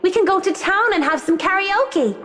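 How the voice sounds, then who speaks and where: A woman's voice: extremely high pitch, expressive, normal speed, fairly bright tone. An actress playing a timid, pleading young schoolgirl in a visual novel game. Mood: coaxing. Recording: long reverb on the voice. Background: game music.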